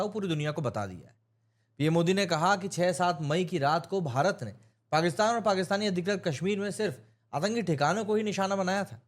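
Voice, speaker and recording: An Indian man giving an opinionated news explainer, speaking emphatically and mixing in English terms. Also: a little high. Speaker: news commentator.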